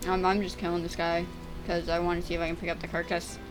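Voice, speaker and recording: A young woman in her teens, voice sitting low for her age with a slight roughness, keeps stumbling and restarting as she chats. She narrates her gameplay in a casual, unscripted ramble. Clear game music plays under her the whole time. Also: completely flat delivery, fast talker, rough recording. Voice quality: voice getting deeper and rougher over time. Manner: chatty, stammering, restarts words. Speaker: gaming YouTuber.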